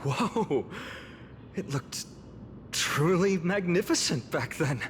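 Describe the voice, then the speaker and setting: Earnest young companion, slow, swooping delivery. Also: male voice, a little high, lively intonation, a little hiss or hum. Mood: awed, concerned. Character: earnest, inquisitive young male travelling companion. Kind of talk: video game voice acting. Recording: echoing hall effect, faint music.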